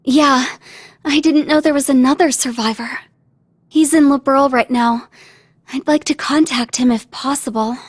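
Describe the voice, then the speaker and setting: A woman's voice: high voice, steady tone, normal speed, natural voice. An actress playing a polite, earnest young girl, speaking with composure in reverberant video game dialogue. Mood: hesitant.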